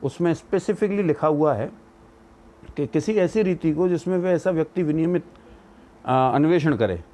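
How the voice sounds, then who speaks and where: A man's voice: on the high side, expressive, normal speed, warm tone. A man giving an explanatory legal commentary, arguing through rhetorical questions and mixing in English legal terms. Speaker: legal educator giving opinion.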